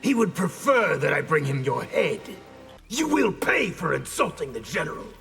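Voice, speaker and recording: A man voicing a stern, loyal, defiant warrior in a video game, with a gravelly voice. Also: fairly high pitch, sing-song, normal speed, pushed and bright, big-room sound, soft background music, full character voice.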